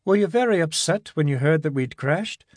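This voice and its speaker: A man's voice: fairly high pitch, expressive, normal speed, dry recording, clean studio sound. A man voicing an eager, self-assured young man in an audiobook reading.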